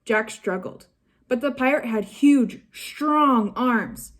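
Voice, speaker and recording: A woman reading a children's chapter book aloud in a slow, even storytelling manner. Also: a little high. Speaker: staff reader.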